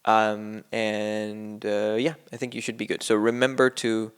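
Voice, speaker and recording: A man's voice: lowish voice, monotone, measured pace, clear, resonant tone. A man walking through a coding tutorial on his screen in a loose, rambling way with lots of fillers. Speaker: coding instructor. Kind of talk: casual screen-share tutorial. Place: at a computer, screen recording.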